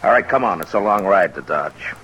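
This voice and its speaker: An American actor playing a weary, firm frontier marshal in an old radio western, terse, measured and authoritative. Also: male voice, sits low, animated, over loud music. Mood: dry, firm. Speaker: lead actor and narrator. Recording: noisy vintage radio recording.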